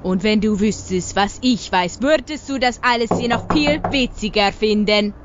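A woman's voice: mid-range voice, muffled recording, heavy background noise, natural voice. An actress playing a cold, mocking villainess in a radio drama, condescending and menacing as she draws out her words. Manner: condescending, menacing, drawn-out delivery. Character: cold, mocking villainess, ringleader holding children captive.

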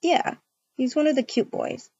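A woman's voice: sits high, huge pitch swings, normal speed, creaky, a little mellow, husky, lo-fi sound. A woman speaking casually as she improvises a game roleplay and chats to viewers. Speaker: video creator acting out a roleplay.

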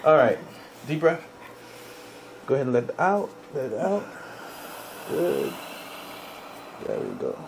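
A man's voice: fairly high pitch, huge pitch swings, unhurried, creaky, pauses often, slight background noise, low-quality recording. A man working as a chiropractor, giving a client short, repeated, reassuring cues during a hands-on ASMR bodywork session in a small room. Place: small indoor treatment room.

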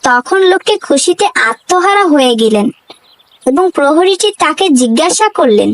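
A woman's voice: sits high, very animated, moderate pace, dry recording. A woman narrating a children's cartoon fable in a scripted storybook style.

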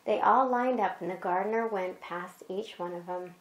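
A woman telling a children's bedtime story in an unhurried, expressive way, as if to a child beside her. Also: medium pitch, roomy sound, rough recording.

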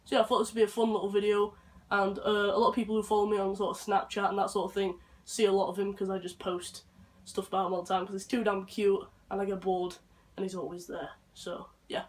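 A young man, hoarse from a flu, chatting casually in his bedroom and rambling softly to his dog. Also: high voice. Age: young adult. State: hoarse from flu and chest infection. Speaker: vlogger. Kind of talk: casual chat. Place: bedroom, indoors.

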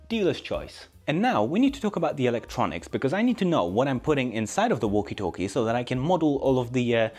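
A man in his thirties presenting a scripted maker explainer in a dry, tongue-in-cheek manner. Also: medium pitch. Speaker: maker channel host. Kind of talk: scripted explainer narration to camera.

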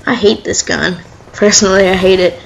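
A woman with a sniffly head cold talking her way through a shooter match, chatty and excitable, yelping when a fight heats up. Her voice sits at an ordinary middle pitch, with faint gunfire and game noise behind her. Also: moderate pace, gravelly, rough recording. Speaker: gameplay commentator. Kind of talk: casual live gameplay commentary.

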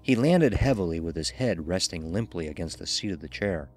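An actor playing a cold, ruthless, dying rich gambler narrating a murder in a brooding, deliberate, theatrical horror style. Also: male voice, low-pitched, animated. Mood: cold, menacing. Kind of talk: dramatic first-person horror audio narration.

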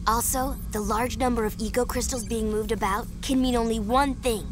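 Bright-pitched earnest young ally played by a woman. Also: steady tone, moderate pace, music in the background, natural voice. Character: earnest young female ally, loyal, smart. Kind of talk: game cutscene acting.

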